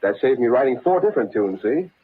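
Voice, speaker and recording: A fast talking cynical con man from an old radio show, husky, brushes people off. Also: mid-range voice, big pitch swings. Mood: smug, cynical. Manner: brisk, dismissive. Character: glib, cynical male song-racket hustler in a big city. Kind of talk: vintage radio drama acting. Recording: tinny, muffled old radio recording.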